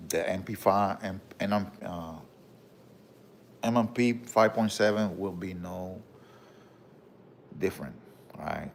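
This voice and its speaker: A man with a Puerto Rican accent hosting a casual livestream, reading viewer comments and showing off his collection, with a relaxed manner and frequent "bro" and "okay?". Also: deep voice, slight background noise. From Puerto Rico. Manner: relaxed, repeats "bro" and "okay?", many fillers.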